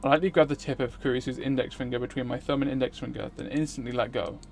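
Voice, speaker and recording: A man's voice: medium pitch, steady tone, fast talker, darkish tone, a little hiss or hum. A man streaming a visual novel, reading its narration aloud in a dramatic style.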